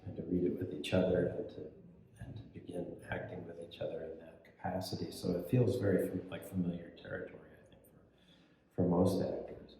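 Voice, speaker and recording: A man in reflective interview talk as an actor, pausing between phrases. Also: sits low, level tone, mellow. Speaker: actor in an audio drama. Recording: very echoey hall, distant mic.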